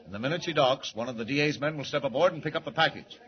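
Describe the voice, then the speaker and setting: An actor playing a brisk, blustery middle-aged newspaper editor in 1940s radio drama style. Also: male voice, fairly high pitch, lightly acted. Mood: pleased, brisk. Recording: muffled 1940s radio recording.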